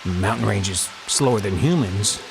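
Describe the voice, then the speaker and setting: A man's voice: lowish voice, a little slow, gravelly, faint music behind, low-quality recording. A man playing a bewildered, brooding man musing to himself in a horror audio drama. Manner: questioning, musing.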